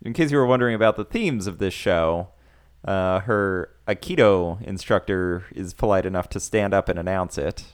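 A man's voice: low voice, normal speed, smooth. A man co-hosting a casual podcast, recapping a show with wry, sarcastic asides. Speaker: podcast co-host.

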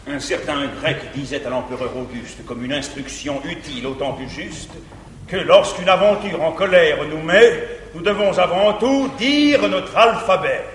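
An actor playing a jealous, controlling middle-aged guardian, declaiming verse pompously with sudden swells. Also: male voice, fairly high pitch, unhurried, natural voice. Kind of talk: 1950s stage verse comedy. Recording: roomy theatre recording with hiss.

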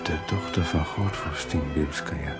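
Low, coaxing aristocrat. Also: male voice, a little slow. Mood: conspiratorial. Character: insinuating, discreet aristocratic young man luring with a tryst. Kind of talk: radio drama dialogue. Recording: loud music behind the dialogue.

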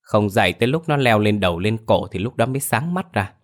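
A man voicing a sharp-tongued elderly mother-in-law who argues in a whiny, defensive way. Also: animated, brisk, natural voice. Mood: scornful. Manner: shrill, whiny, argumentative.

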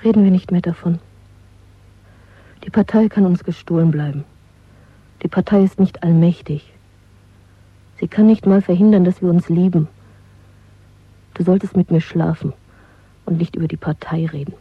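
An actress playing a sensual, defiant, pragmatic young lover in a radio drama, speaking in an intimate, mocking manner. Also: female voice, on the low side, moderate pace, pauses often, breathy edge. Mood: coaxing. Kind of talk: German radio drama acting. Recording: tinny, roomy radio drama recording.

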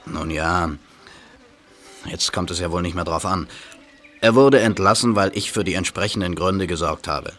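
A man playing a gruff cornered smuggler. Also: low-pitched, moderate pace, long pauses, a bit bright. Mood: defensive. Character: scheming adult male smuggler vet, cornered. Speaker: villain. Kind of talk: amateur radio play acting.

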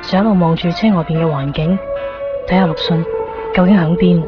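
A woman narrating her own thoughts softly and wistfully in a 1990s radio drama. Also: on the low side, fairly quick. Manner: soft, wistful inner monologue. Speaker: narrator and lead character. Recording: slightly dull broadcast sound with music bed.

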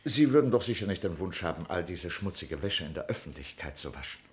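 An actor playing a slick, insinuating lawyer in a German radio play, speaking in a formal, deliberate, smooth-talking way. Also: male voice, low-pitched, very animated, full character voice. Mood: pressing.

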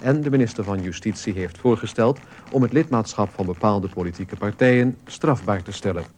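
A man plays a sober male newsreader. He gives a formal, factual bulletin in a fairly low voice, with faint noise behind. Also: brisk, a little mellow, close to an everyday voice. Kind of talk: news bulletin in radio play.

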